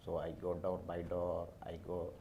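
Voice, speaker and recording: A man from Somalia with a Somali accent, speaking haltingly on a panel as an airport worker. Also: low-pitched, monotone, phone-quality sound, a little hiss or hum. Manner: halting, non-native phrasing. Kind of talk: panel discussion answers.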